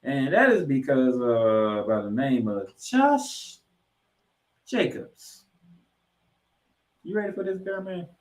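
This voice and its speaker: A man co-hosting a sports podcast, recapping football and reading stats with emphatic, drawn-out key figures. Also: mid-range voice, sing-song. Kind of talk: football recap commentary with stat read-outs. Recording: echoey, roomy sound.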